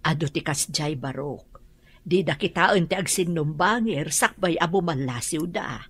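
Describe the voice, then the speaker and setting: A woman's voice: deep voice, unhurried, husky. A woman with an Ilocano accent playing a concerned, chatty older villager in a drama.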